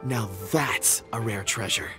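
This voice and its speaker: A man's voice: fairly low pitch, measured pace, over music, natural voice. A man playing an affable, forgetful rock appraiser in a video game, with genteel, theatrical speech. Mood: impressed, lyrical. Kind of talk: English video game voice acting.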